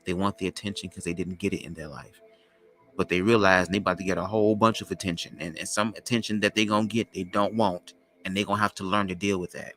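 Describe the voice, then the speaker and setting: A man with a low, raspy voice, hosting a podcast as a loose, slangy spiritual monologue. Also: steady tone, a bit bright, soft background music. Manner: loose, slangy, drawn-out talk. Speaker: podcast host.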